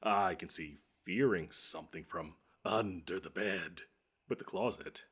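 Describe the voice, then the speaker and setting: Audio roleplay monster, male actor: very low voice, smug, taunting, theatrical, menacing but quick to get flustered. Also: fairly bright tone, slightly rough, exaggerated voice. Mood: grudging, skeptical. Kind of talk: scripted one-sided audio roleplay.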